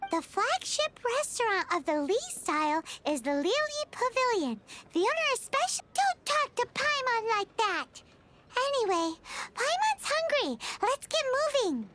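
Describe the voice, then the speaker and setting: Squeaky, excitable little fairy companion. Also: female voice, unhurried, background noise, heavily acted. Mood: indignant, impatient. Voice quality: squeaky, childlike. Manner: speaks of self in third person, bossy, excitable. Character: small floating childlike fairy companion, chirpy, food-loving.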